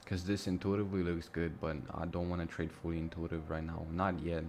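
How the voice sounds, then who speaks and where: A young man with a Romanian accent talks loosely and off the cuff, thinking aloud with the odd curse. His voice sits very low. A faint noise hums behind him. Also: flat delivery, brisk, a little mellow. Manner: casual, thinking aloud, swears freely. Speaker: live-streaming trader. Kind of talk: casual live-stream commentary. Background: music and mouse clicks.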